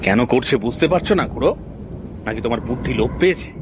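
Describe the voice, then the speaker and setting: A man playing a stern, scornful villager in a horror cartoon, forceful and accusing. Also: medium pitch, expressive, fast talker, lightly acted. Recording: clear background noise.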